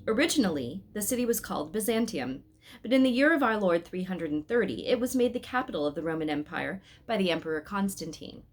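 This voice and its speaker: A woman reading a story aloud as a scripted narration. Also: lowish voice. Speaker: reader of an Advent story.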